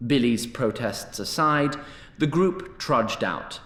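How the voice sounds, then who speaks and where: A man giving scripted narration for a fantasy audio drama, in a wry and deliberate manner. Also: mid-range voice, animated, fairly bright tone, reverberant.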